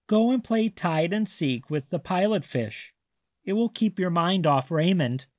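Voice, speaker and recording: A man voicing a gentle mother shark in a storybook, patient, soothing and reassuring. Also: sits high, lively intonation, measured pace, dry recording, studio quality, voice pushed up. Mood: comforting.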